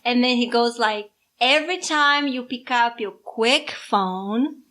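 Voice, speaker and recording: A middle-aged Brazilian woman with a Brazilian accent, talking slowly and unhurriedly in a fairly high voice. She teases and jokes through a casual podcast chat with her daughter. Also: sharp tone. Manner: unhurried, playful, teasing. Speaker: podcast co-host, mother.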